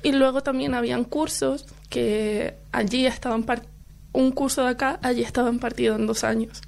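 A woman's voice: fairly high pitch, fairly even intonation, normal speed, creaky, a little mellow. A young woman from Chile, a university student, chatting as a guest on a studio radio show, laughing often between fillers. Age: young adult. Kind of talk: casual radio interview. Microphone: studio headphones and mic.

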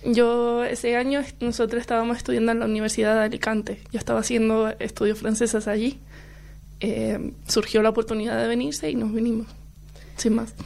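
Young woman from chile, university student, radio guest, gravelly fry, slightly high pitch, studio mic. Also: level tone, brisk, a little mellow. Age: young adult. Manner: chatty, laughs often, fillers. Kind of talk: casual radio interview. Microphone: studio headphones and mic.

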